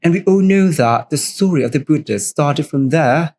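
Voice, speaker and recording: A man's voice: on the high side, animated, normal speed, darkish tone. A man from Thailand, a native Thai speaker co-hosting a podcast, explaining customs step by step in English with a Thai accent. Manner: plain, step-by-step explaining in second-language English. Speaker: podcast co-host. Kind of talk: explanatory cultural talk.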